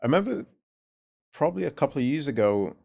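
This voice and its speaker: A man's voice: on the high side, sing-song, fast talker, gravelly, warm tone, no echo, studio quality. A man hosting a podcast, chatting casually, asking probing questions and often answering with short affirmations. He speaks with an accent. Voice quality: speaks with a non-native accent. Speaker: podcast host. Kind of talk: casual interview chat.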